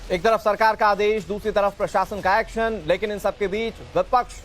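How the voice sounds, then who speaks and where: Male news anchor, high-pitched, presenting and moderating over faint music. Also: steady tone, moderate pace, fairly bright tone.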